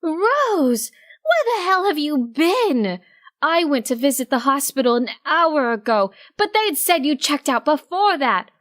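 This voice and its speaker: A woman acts out a young female cartoon pony musician for an audio story. She sounds high-pitched, fussing and exasperated. She insists on her point and scolds like a worried friend. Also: sing-song, unhurried, a bit bright, full character voice. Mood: angry worry.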